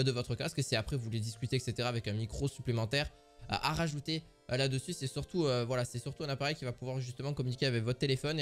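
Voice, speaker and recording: Male product reviewer, casual and halting, mid-range voice over quiet background music. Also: mid-range voice. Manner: hesitant, many filler sounds and restarts.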